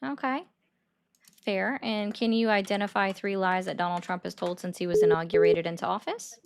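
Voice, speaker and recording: A woman's voice: mid-range voice, steady tone. A woman hosting a political call-in livestream, arguing bluntly with callers and mocking them. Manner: blunt, argumentative, quick to mock. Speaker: livestream host taking callers.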